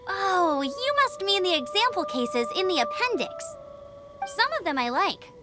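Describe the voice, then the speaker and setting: A woman voicing an upbeat, bubbly teenage girl glider in a video game, with a perky, earnest, animated way of talking. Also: very high-pitched, a little slow, over loud music, heavily acted. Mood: excited.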